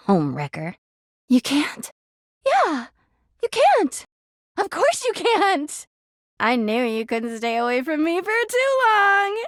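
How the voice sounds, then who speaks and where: Video game role: lovesick female object come to life, needy, passive-aggressive, high-pitched with very animated swings. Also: no echo, clean studio sound, full character voice. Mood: bitter, scornful. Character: clingy, volatile, lovesick female living laundry hamper. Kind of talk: video game character acting.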